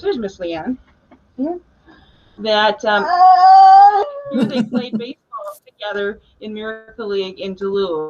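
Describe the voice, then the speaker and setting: A woman presenting casually on a video call, chatty and encouraging, like a teacher talking to a group. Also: medium pitch. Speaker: special education teacher presenting. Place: indoor school room, on a video call. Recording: dull webcam video-call audio.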